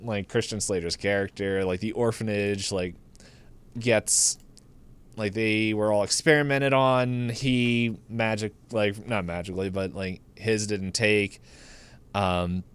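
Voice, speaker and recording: A man's voice: on the low side, steady tone, measured pace, fairly bright tone, clear, resonant tone. A man co-hosting a podcast, giving a casual, rambling, comedic movie recap and acting out the characters' lines in his own words. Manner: laughs through the telling.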